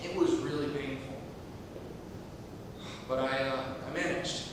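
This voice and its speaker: A man's voice: medium pitch, fairly even intonation, unhurried, natural voice. A man playing a frazzled, irritable, lonely commuter in a live stage comedy. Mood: lonely, subdued. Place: theatre stage, recorded from far off. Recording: distant, echoey hall, clearly noisy.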